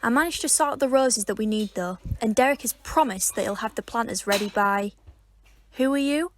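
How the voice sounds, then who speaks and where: An actress playing a prim, easily flustered club organiser in an audio drama, speaking in a brisk, clipped, humourless way. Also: female voice, on the high side, slight background noise, lightly acted.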